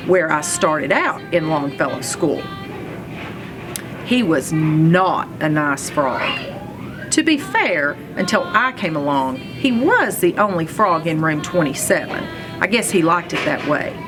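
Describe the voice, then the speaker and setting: Animated woman reading a children's storybook. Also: sits low. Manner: expressive, playful, acts out sounds and exclamations. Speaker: read-aloud reader, school superintendent.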